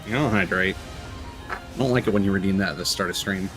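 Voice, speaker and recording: A man's voice: low-pitched, quick pace, over music, low-quality recording. A man live-streaming a video game, commenting casually and reading story text aloud.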